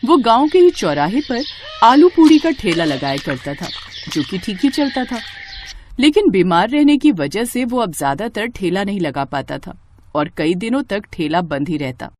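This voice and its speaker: A woman narrating a scripted moral tale for animation in an expressive storytelling manner. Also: medium pitch, normal speed.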